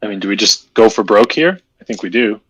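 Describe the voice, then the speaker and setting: A man's voice: fairly low pitch, animated, a little fast, fairly bright tone, breathy edge, dry recording, poor audio. A man chatting casually and joking with a friend while they play a card game on stream. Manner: joking, playful banter.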